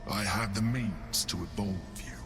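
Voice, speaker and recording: An actor playing a calm, persuasive mind flayer mentor in a video game, solemn and coaxing in manner. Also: male voice, fairly low pitch, unhurried, over music. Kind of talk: video game cutscene acting.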